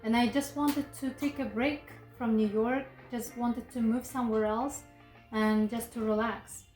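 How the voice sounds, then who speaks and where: A woman's voice: on the high side, a little slow, faint music behind. Young woman from Kazakhstan, echoey room. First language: Kazakh or Russian. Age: 20s. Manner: relaxed, thoughtful, frequent fillers and restarts. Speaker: interview guest. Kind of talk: casual interview.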